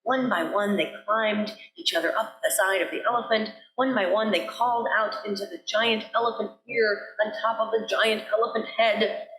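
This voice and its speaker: A woman minister telling a story to a congregation, deliberate and repeating phrases for effect. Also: medium pitch, steady tone, fairly bright tone. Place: church sanctuary. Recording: roomy, echoey sanctuary.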